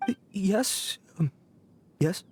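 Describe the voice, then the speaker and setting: A man's voice: sits high, lively intonation, very slow, a little mellow, faint music behind, exaggerated voice. A man voicing a timid, remorseful petty thief in a video game, hesitant, stammering and pleading. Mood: cowed.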